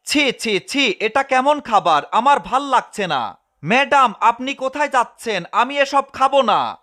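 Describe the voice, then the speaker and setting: Shrill, greedy cartoon cat. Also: male voice, expressive, unhurried, full character voice. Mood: whining, pleading. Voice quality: high-pitched, sharp. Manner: exclamatory, melodramatic pleading and gloating. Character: greedy mischievous male cartoon cat, gloating and whiny. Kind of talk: fan-dubbed cartoon comedy.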